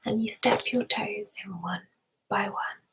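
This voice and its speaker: A woman softly guiding a meditation in an ASMR style, mostly in a breathy whisper, soothing and gentle, often repeating words. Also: medium pitch, steady tone, measured pace, music in the background. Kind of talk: ASMR guided meditation roleplay.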